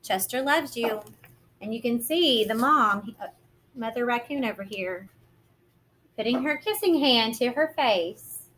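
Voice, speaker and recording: A woman reading a picture book aloud as a kindergarten teacher, gentle and expressive, explaining simply to young children. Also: a little high, unhurried, slight background noise, rough recording.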